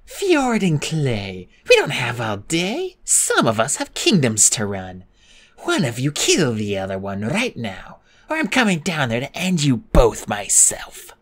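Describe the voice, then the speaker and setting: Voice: medium pitch, wildly swooping pitch, measured pace. A reader playing a cruel, impatient dragon queen. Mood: commanding. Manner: commanding, impatient.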